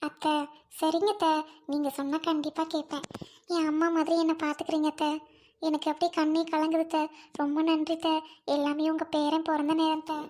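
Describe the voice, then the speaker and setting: A woman voicing a gentle elder daughter-in-law who has just had a baby, speaking softly and politely. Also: sits high, little pitch movement, fairly quick, voice pushed down, natural voice. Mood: grateful.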